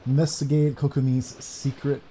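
A man's voice: mid-range voice, level tone, moderate pace, background noise. A man in his thirties doing let's play commentary and reading game text aloud from a home room. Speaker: gaming streamer. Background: game music.

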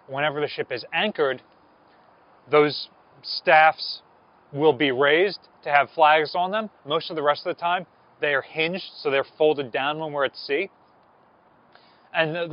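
American man, thirties, slightly high voice, informal museum presenter, explanatory talk, a little muffled, lapel mic outdoors. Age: 30s. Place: outdoors on a ship's deck. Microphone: clip-on lapel mic.

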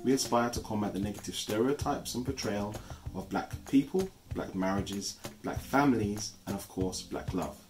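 A man, co-hosting a channel introduction from a living room sofa in a measured, earnest way. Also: on the low side, poor audio. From East London, England. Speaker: channel co-host. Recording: music playing underneath. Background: soft background music.

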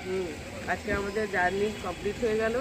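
An upbeat woman chats quickly with a traveling companion beside a busy road. Heavy street noise runs under her voice, and she is picked up on a phone held at arm's length. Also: mid-range voice, steady tone. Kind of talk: casual chat. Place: outdoors by a road.

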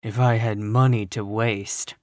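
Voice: medium pitch, measured pace, no echo, clean studio sound, lightly acted. A narrator voicing a grim, sour, scornful spinster in her fifties from a storybook, full of gloom and contempt. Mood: grim, disapproving. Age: 50s. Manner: grim, scornful, flat pronouncements.

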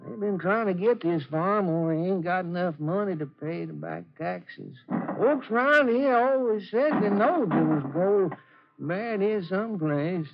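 High, excitable Southern farmhand. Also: male voice, measured pace, creaky, background noise, full character voice. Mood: awed, wary. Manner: excitable, exaggerated folksy drawl. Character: fearful, folksy rural farmhand serving his boss. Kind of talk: 1940s radio drama acting. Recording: muffled vintage radio recording.

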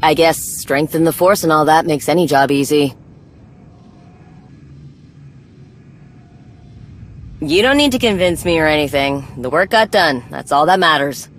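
A woman acting a brisk, proud, no-nonsense warrior in a video game, with a blunt, clipped, dry manner. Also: low-pitched, animated, a little hiss or hum. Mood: dry approval.

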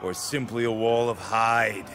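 An actor playing a proud, scornful Greek champion in a video game, terse and disdainful. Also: male voice, lowish voice, steady tone, unhurried, close to an everyday voice. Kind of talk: video game cutscene acting. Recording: music behind.

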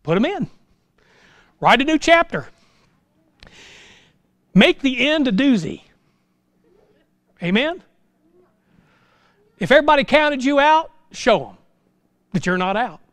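A man's voice: extremely high pitch, big pitch swings, slow pace, pauses often, a bit bright, no echo, studio quality. A middle-aged American man preaching an informal sermon in a folksy, exhortative way, full of rhetorical questions. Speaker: pastor preaching. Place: small church sanctuary, indoors.

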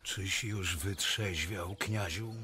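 An actor playing a terse, sceptical questioner in a radio drama, probing and challenging. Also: male voice, low voice, slow, bright, forceful tone, husky, no room sound, heavily acted.

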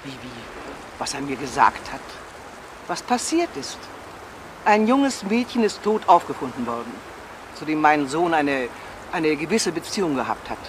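Bossy worked-up older mom in a radio crime play, voice all over the place, noisy street. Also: female voice, low-pitched, big pitch swings, moderate pace. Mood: insistent, defensive. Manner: insistent, firing repeated questions. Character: imperious, agitated elderly mother of a suspect. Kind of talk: German crime radio drama acting. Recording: outdoor scene with loud traffic noise.

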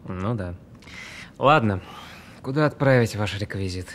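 A man's voice: on the low side, lively intonation, unhurried, slight background noise. An actor playing an irritable newlywed man woken early, curt and sarcastic in a naturalistic audio drama. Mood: resigned.

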